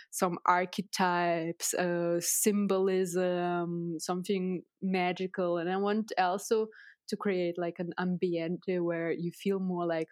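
A woman's voice: lowish voice, a little mellow, no room sound, studio quality. A woman with a Russian accent, a Russian native speaker, talking as a tattoo artist guest in a casual interview, hesitant and filling her speech with "like".

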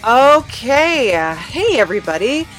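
A woman's voice: high-pitched, big pitch swings, unhurried, fairly bright tone, soft background music. A woman hosting a casual comic podcast interview in a hesitant, awkwardly chirpy, giggly manner. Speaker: podcast host.

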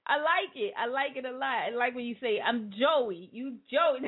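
A woman's voice: on the high side, expressive, moderate pace, husky. A woman hosting an internet radio show, chatting casually and laughing often as she interviews a guest. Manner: laughs often, slangy and playful. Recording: tinny phone line.

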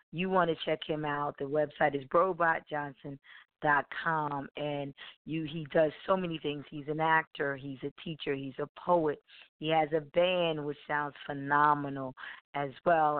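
A female radio host speaks in a rambling, halting way, stopping to restart her sentences as she loses her voice. Her tone stays smooth and clear at a middling pitch. The audio is dry and close but squeezed into a tinny, phone-like band. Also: no echo. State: losing her voice. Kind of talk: live radio interview.

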